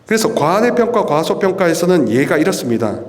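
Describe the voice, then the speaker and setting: Man, middle-aged priest, lively sermon, slightly high voice, long echo of a large hall. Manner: lively, emphatic preaching with rhetorical questions and drawn-out stresses. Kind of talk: charismatic prayer-meeting sermon. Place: church hall at a prayer meeting. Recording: long reverb of a church hall.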